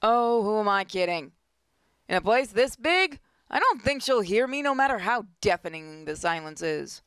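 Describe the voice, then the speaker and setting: A woman's voice: medium pitch, big pitch swings, normal speed, a bit bright, clean tone, dry recording. A woman hosting a gaming video, reading visual novel text aloud expressively with casual commentary.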